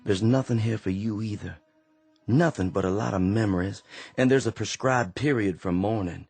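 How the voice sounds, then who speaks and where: A tired Confederate sergeant from the American South in an old-time radio play. His voice is deep and gravelly, and his halting, earnest words often repeat as he works through his thoughts, while soft music plays underneath. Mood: firm. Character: weary Confederate sergeant, a quiet and resolved drifter. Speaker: radio drama actor. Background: faint underscore music.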